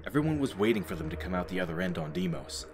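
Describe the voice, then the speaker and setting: A man's voice: low-pitched, very animated, fast, background noise. A man narrating an audio drama as a storyteller, with a wry, informal turn of phrase.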